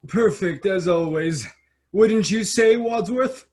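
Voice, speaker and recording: A man's voice: high voice, takes it slowly, darkish tone. A man playing a vain, self-admiring young prince in a children's comedy play, speaking in a pompous, languid way. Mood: smugly self-admiring. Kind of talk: staged reading of children's theater comedy.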